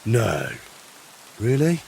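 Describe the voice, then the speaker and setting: An actor playing a kindly, patient young man in a medieval video game, gentle and slightly hesitant as he coaxes. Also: mid-range voice, huge pitch swings, very slow, gravelly, a little hiss or hum, heavily acted. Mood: curious. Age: young adult. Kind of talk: dramatic video game dialogue.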